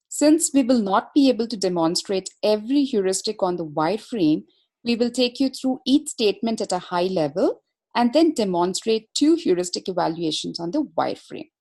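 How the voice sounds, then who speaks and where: A woman's voice: medium pitch, a little mellow. A woman presenting a webinar as a consultant, explaining material step by step in a formal, methodical way.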